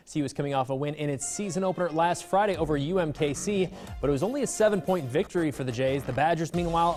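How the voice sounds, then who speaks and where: A man's voice: fairly high pitch, a little mellow. A man with an American accent delivering a scripted sports report on a newscast. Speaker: sports anchor.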